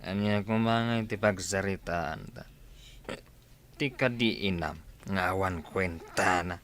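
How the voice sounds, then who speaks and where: A man's voice: low voice, measured pace, fairly bright tone. A bitter, irresponsible drunken father in a radio play. He mutters and slurs his blame. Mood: bitter, muttering. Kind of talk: radio drama acting.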